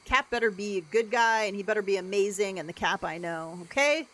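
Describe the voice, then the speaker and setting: Young woman streaming, deeper voice, rambles w lots of likes and ums. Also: a little fast, a bit bright, rough recording. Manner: rambling, chatty, many "like" and "um" fillers. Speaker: livestreamer. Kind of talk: casual chat while gaming.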